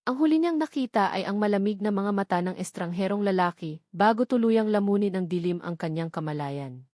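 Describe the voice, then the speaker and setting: A woman's voice: mid-range voice, expressive, a little fast, mellow, dry recording, clean studio sound. A woman with a Filipino accent reading a scripted love story as a dramatic audiobook narrator.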